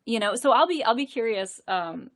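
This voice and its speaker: A woman's voice: fairly high pitch, animated, normal speed. A woman who narrates audiobooks, chatting animatedly on a live stream, often stumbling into restarts and laughing. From central Florida. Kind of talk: casual live chat.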